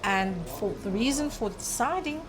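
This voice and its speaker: A woman's voice: on the high side, animated, a crowd in the background. South African woman, earnest and thoughtful. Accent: South African English. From Cape Town, South Africa. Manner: earnest, thoughtful, with frequent pauses and fillers. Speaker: guest. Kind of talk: conversational interview.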